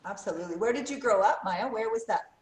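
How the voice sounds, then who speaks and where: A woman co-hosts a casual interview, chiming in with short, encouraging remarks and questions. Her voice sounds roomy, with a clear echo, as from a video call. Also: a little high, quick pace. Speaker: co-host. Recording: echoey room.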